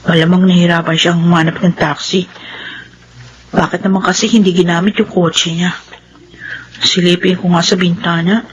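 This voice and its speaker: A woman's voice: on the low side, level tone, moderate pace, pauses often, muffled recording, a little hiss or hum, natural voice. A woman with a Filipino accent acting a resentful, hot-tempered young daughter in a radio drama, emotional and emphatic. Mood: suspicious.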